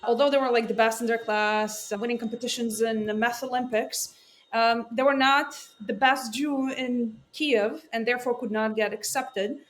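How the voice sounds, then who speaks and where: A woman's voice: on the high side, steady tone, normal speed. A woman from Ukraine with an Eastern European accent, a tech CEO telling her story earnestly on a remote call. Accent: Russian-speaking Eastern European. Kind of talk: interview storytelling.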